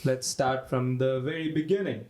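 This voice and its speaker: A man hosting a podcast, talking in a relaxed, friendly way with frequent laughs. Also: mid-range voice, moderate pace. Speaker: podcast host. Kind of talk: casual podcast chat.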